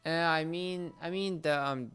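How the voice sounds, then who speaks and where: A man co-hosting a casual talk show, explaining things haltingly and searching for words. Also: a little high, a bit bright, smooth, faint music behind. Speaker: co-host of an entertainment talk show. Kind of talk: casual explanatory chat about anime.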